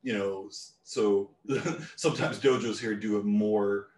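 A man's voice: lowish voice, fairly even intonation, a little slow, a little mellow, distant mic. A man from Newfoundland hosting a casual podcast, loose and joking, laughing often. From Newfoundland, Canada. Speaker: podcast host. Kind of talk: casual chat. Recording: roomy sound, a bit off mic.

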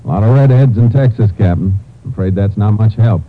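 An actor playing a laconic, folksy Texas Ranger detective in an old-time radio crime drama, speaking matter-of-factly. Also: male voice, on the low side, brisk, narrow, tinny audio, a little hiss or hum, lightly acted. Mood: businesslike. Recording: band-limited vintage radio recording with music.

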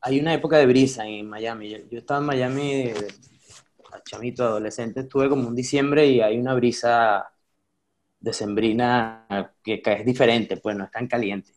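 A man's voice: mid-range voice, normal speed, a little hiss or hum. A man, a guest chatting casually and easily, with a child of his own. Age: adult with a child. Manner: relaxed, chatty, many fillers like "este". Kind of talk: casual conversation.

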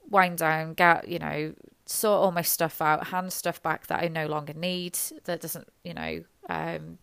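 A woman, a nurse, talking in a halting, rambling, self-deprecating way, her voice hoarse and gravelly from illness. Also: deep voice, dry recording. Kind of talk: reflective personal monologue.

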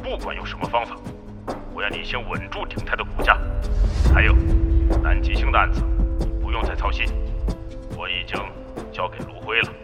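A man's voice: on the low side, brisk, pauses often, lightly acted. An actor playing a stern, domineering businessman father in a radio drama, commanding and scolding. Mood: curt, commanding. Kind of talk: modern radio drama acting. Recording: long hall reverb, music underneath.

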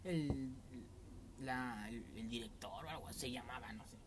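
A man's voice: medium pitch, unhurried, noisy background. Candid Mexican man, rambling podcast chat.

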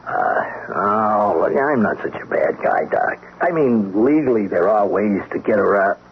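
Low-voiced, folksy police lieutenant. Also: male voice, animated, a little slow. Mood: softening, awkward. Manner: casual, hemming, slangy. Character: affable, hesitant male police lieutenant, folksy but probing. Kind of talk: radio drama acting. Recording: tinny band-limited radio, clear noise.

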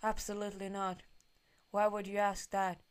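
A woman's voice: mid-range voice, completely flat delivery, normal speed, clean tone. A young woman reading a fanfiction aloud with a friend, incredulous and tripping over words. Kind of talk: casual comedic reading.

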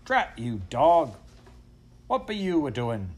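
A man's voice: mid-range voice, animated, normal speed, a little mellow, husky. Man doing an irascible rustic storybook farmer. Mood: angry. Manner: blustering, grumbling, rustic dialect phrasing. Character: irascible, startled male farmer, gruff rustic master. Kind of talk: storybook character voice.

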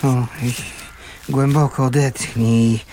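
A male actor playing a kindly, poor scavenger who lives in a shack, halting and homely, in a radio drama. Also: mid-range voice, fairly even intonation, very noisy, lightly acted. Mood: soothing. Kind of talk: Polish radio drama acting.

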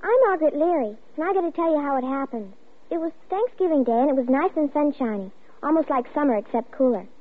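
A young female actor playing an excitable, chatty young girl telling her story in 1950s radio drama style, earnest and childlike. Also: sits high, fast. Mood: earnest, eager. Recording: muffled old radio broadcast, loud music.

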